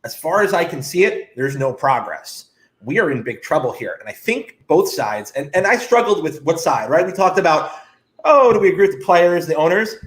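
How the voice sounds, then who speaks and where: A man with a fairly high voice co-hosts a sports talk show. He is casual but blunt and opinionated, breaking his points into short, punchy phrases. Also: animated, moderate pace.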